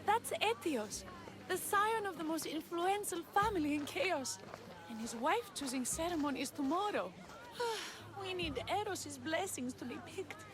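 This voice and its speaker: A woman's voice: extremely high pitch, animated, moderate pace, a crowd in the background. A woman playing a naive, lovesick young woman in a video game, earnest and pleading. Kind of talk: dramatic video game acting.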